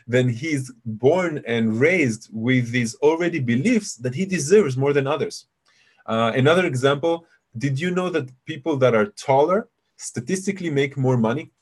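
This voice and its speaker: A man with a deep voice leads a live online session, talking loosely and explaining ideas to the listeners he calls "you guys". His pitch sits in an ordinary middle range. He is heard through a computer mic on a video call. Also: darkish tone, husky. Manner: chatty, explanatory, addresses audience as "you guys". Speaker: meditation teacher hosting a webinar. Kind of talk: casual live webinar teaching.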